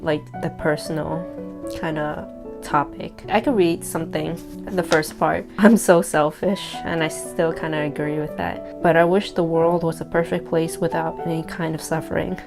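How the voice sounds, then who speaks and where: A young woman in her twenties whose native language is Cantonese, reading her own old letter aloud and reacting to it in a candid, self-deprecating way. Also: fairly low pitch. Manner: candid, reflective, self-deprecating with soft laughs. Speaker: vlogger. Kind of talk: casual reading of a personal letter. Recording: guitar music playing behind.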